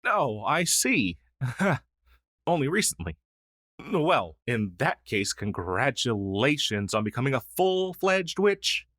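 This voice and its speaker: A man's voice: mid-range voice, very animated, takes it slowly, a bit bright, dry recording, studio quality, heavily acted. A man playing a friendly, down-on-his-luck crow demon who was once a witch's familiar, in a fantasy audio roleplay, speaking earnestly with hesitant stammers. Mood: warm, explanatory.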